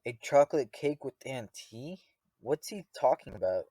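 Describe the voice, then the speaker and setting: A man's voice: medium pitch, animated, normal speed, mellow, close to an everyday voice. A man playing a stern, distrustful father in a radio drama, with a commanding, accusing manner. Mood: stern, angry. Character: stern, distrustful, bickering father of two children.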